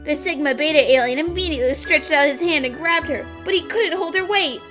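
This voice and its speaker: A woman voicing an excitable, theatrical young woman in a fan-dubbed detective cartoon, speaking dramatically. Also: high voice, brisk, music in the background, close to an everyday voice. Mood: anguished drama. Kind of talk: fan dub voice acting.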